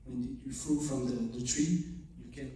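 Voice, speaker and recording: A choreographer from Morocco, answering audience questions after a show and searching for English words. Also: male voice, mid-range voice, fairly even intonation, moderate pace, far from the mic, noisy background. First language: not English. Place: theater stage. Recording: echoey theater heard from afar.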